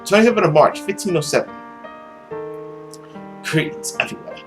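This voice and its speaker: A man's voice: sits high, lively intonation, normal speed, soft background music, pitched above the performer's own voice. A man playing a world-weary immortal demon who poses as a nobleman, musing theatrically through his journal in a visual novel. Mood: bitter musing. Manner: brooding, musing, theatrical diary reading.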